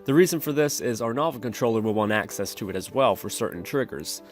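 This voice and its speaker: A man's voice: lowish voice, expressive, quick pace. Man narrating a coding tutorial over music. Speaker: programming tutorial host. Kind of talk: instructional screencast narration.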